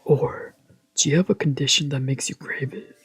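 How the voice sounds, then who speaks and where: A woman's voice: extremely low pitch, normal speed, slightly rough, exaggerated voice. A woman voicing a cool, probing young man in a visual novel. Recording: music behind the voice.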